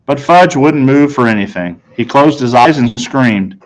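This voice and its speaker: A man's voice: medium pitch, animated, measured pace, slight background noise, rough recording. A man reading a children's novel aloud as scripted first-person narration. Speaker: story reader.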